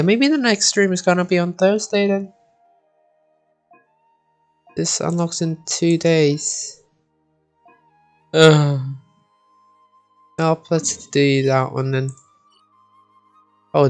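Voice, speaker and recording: Slow-paced gamer thinking aloud. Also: medium pitch, darkish tone. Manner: sweary, mutters to self. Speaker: video game streamer. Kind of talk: casual gameplay commentary, thinking aloud.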